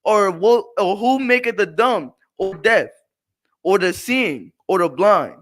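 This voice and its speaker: A man reading a scripture passage aloud in a slow, halting way. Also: high-pitched, animated, fairly bright tone.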